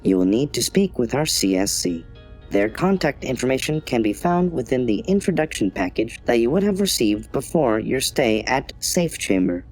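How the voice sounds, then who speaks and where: A man's voice: mid-range voice, very animated, moderate pace, a little mellow, faint music behind, rough recording. A man voicing a polite but obtuse customer-service AI in an audio drama, formal, scripted and impassive. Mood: procedural. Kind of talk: automated assistant in audio drama.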